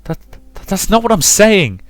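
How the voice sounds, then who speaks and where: A man's voice: high-pitched, sing-song, a little slow, no room sound, faint music behind, pitched above the performer's own voice. A man putting on the voice of an earnest young woman who pleads and stammers in distress. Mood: flustered.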